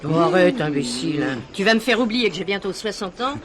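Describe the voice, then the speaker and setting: A man's voice: high-pitched, expressive, a little fast, over a noisy crowd. A man acting in stage comedy as a stout, bossy backstage worker nearing sixty, scolding with exasperated fondness. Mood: exasperated, fond.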